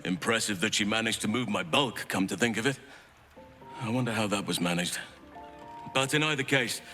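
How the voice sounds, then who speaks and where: A man's voice: fairly low pitch, normal speed, pushed and bright. An actor voicing a formal, dignified male warrior companion, over game music.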